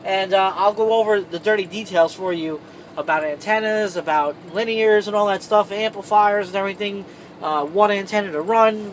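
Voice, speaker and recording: High-pitched man giving a casual tutorial. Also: a little hiss or hum. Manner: informal, conversational, addressing viewers directly. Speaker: video tutorial host, truck driver. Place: inside a semi truck cab.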